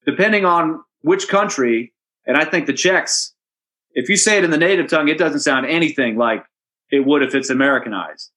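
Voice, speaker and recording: A hockey play-by-play announcer answering interview questions in a relaxed, anecdotal way, chatty and amused. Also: male voice, fairly high pitch, normal speed. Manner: chatty storyteller, amused, with restarts and asides.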